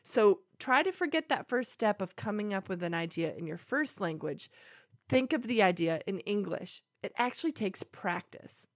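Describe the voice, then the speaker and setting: A woman teaching English learners, explaining tips clearly and deliberately, step by step. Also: medium pitch, expressive, dry recording, studio quality. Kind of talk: language lesson to camera.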